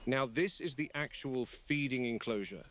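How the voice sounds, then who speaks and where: A man acting as a measured, serious male game warden records a formal log for a found-footage horror film. His voice is medium in pitch, and he explains procedures for dangerous animals with deliberate, objective care. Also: a bit bright, a little hiss or hum, lightly acted. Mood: measured, grave.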